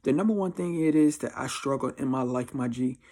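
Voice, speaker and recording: A man's voice: mid-range voice, a little fast, dark tone. A man in his mid twenties with an American accent, giving a casual, slangy monologue in a blunt, emphatic way, often repeating phrases. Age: twenty-four. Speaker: vlogger giving life advice. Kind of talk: casual confessional monologue to camera.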